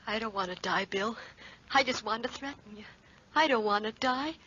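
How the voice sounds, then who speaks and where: A woman's voice: fairly high pitch, normal speed, sharp tone, breathy edge. An actress playing the plaintive, pleading memory of a lovelorn young woman, in 1940s radio drama. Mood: desperate pleading. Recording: dull 1940s radio recording.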